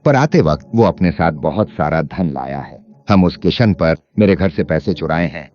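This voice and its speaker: Very deep, sly village landlord acted by a man. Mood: scheming. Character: cunning, greedy village landlord, scheming and falsely wronged. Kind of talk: animated moral story character acting. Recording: dull lo-fi sound under music.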